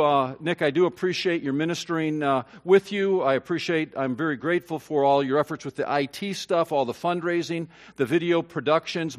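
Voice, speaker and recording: A man preaching a sermon as pastor, earnest and halting, with many fillers and restarts that give way to emphatic high points. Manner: earnest, rising to emphatic peaks.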